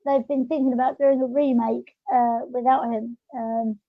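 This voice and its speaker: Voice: medium pitch, level tone, normal speed. A podcast host chatting casually in a hesitant, rambling way. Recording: muffled lo-fi audio.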